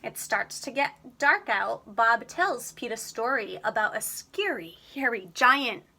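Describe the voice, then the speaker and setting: Animated, slow-paced woman reading to children. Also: fairly high pitch, creaky. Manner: deliberate, animated storytelling for young children. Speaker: storybook reader. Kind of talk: expressive children's read-aloud.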